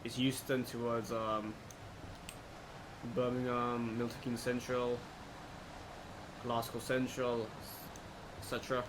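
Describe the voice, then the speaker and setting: A man's voice: medium pitch, takes it slowly, pauses often. Man commentating on a game over background noise. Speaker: gaming video host. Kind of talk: casual let's play commentary. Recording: clear background noise, steady hum.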